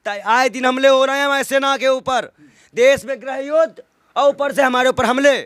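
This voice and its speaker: A man with a strikingly high-pitched voice in an impassioned political interview. He argues indignantly, piling up rhetorical questions and repeating key phrases for emphasis. The sound is dry, with almost no room echo. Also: steady tone, moderate pace, a bit bright, smooth.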